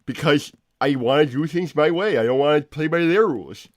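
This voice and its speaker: A man's voice: fairly high pitch, expressive, no echo, studio quality, close to an everyday voice. Man as a stammering, defensive cartoon inventor. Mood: bitter, defensive. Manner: halting, stammering, repeats himself. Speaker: livestream co-host. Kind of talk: in-character improvised livestream chat.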